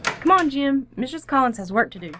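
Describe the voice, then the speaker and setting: A woman's voice: high voice, sing-song, a little fast, background noise. Woman playing a drily sarcastic radio-sitcom wife. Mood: coolly amused. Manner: polite, dry sarcasm. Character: composed, drily sarcastic suburban wife, firm. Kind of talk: old-time radio sitcom acting.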